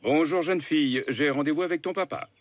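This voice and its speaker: An actor voicing a formal, puzzled middle-aged lawyer in a cartoon. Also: male voice, fairly high pitch, brisk, slight background noise, lightly acted. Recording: music under dialogue.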